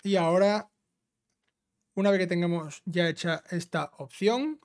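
A man's voice: sits high, measured pace. A man with an accent from Spain giving an informal, instructional step-by-step video game walkthrough. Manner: instructional, often ends phrases with a checking tag. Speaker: video game trophy guide host.

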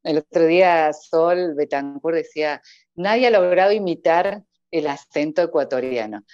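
A low-pitched woman from Córdoba, Argentina, with a lilting Cordobés accent, talking easily and laughing often. Her voice comes through earphone headset audio on a video call, a little dull and very dry. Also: normal speed, dry recording. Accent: Cordobés Argentine Spanish. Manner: laughs often, warm sing-song lilt. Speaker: voice-over artist guest. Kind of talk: casual interview over video call. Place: indoor room at home.

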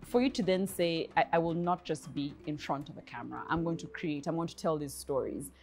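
A woman's voice: low voice, a little fast, darkish tone, soft background music. A woman in her thirties with a Ugandan English accent, hosting a studio interview and asking long, chatty questions. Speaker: host. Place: bright indoor studio set with armchairs.

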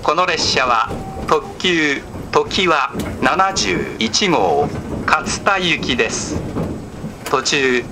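A man's voice: on the high side, animated, very slow. A man reading a formal scripted announcement as a recorded train announcer. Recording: noisy recording inside moving train. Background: train running noise.

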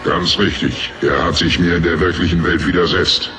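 A man's voice: sits low, steady tone, normal speed, slight background noise. A man voicing a cold, tyrannical master computer program in a German radio play, imperious and threatening. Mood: cold, commanding. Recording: radio play over loud music.

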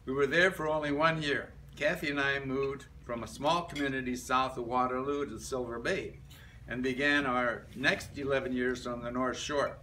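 An older man reads a prepared reminiscence aloud to a group, halting between short phrases. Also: mid-range voice, steady tone, bright, forceful tone. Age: older, about 70s to 80s. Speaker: club member giving a life-story speech. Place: medium-sized indoor meeting room. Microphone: camera a few metres away. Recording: distant room mic with background noise.

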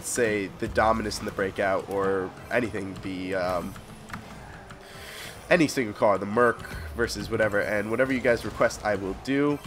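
A man's voice: fairly low pitch, fairly even intonation, moderate pace, music in the background. A young adult man hosting a gaming video with casual gameplay commentary, sounding congested and sick with a cold.

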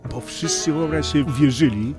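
An actor playing a frank, teasing friend with a colloquial, wry manner in an audio drama. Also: male voice, fairly high pitch, normal speed, over music, natural voice. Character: blunt, teasing male friend of the groom's circle.

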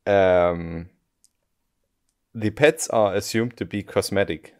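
A German man with a notably low voice talks through ideas on a podcast. He chooses his words with care, repeats and restarts as he thinks, and adds a dry, modest humor. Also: slow, a little mellow. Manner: thoughtful, self-deprecating, frequent restarts. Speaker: game developer guest. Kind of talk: casual podcast interview.

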